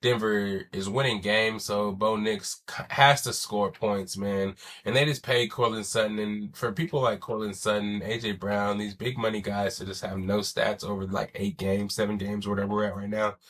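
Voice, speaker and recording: A young man with a lowish, bright voice talks sports alone on his podcast in a loose, slangy way, swearing now and then. A chest cold leaves him sounding wheezy and stuffy. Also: fairly even intonation, moderate pace, few pauses. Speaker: podcast host. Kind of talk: casual solo sports talk.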